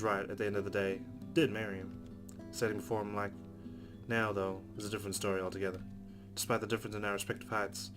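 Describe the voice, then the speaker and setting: A man with a fairly low voice reads story narration aloud as a let's play host. Guitar music plays clearly behind him as he reads from a visual novel.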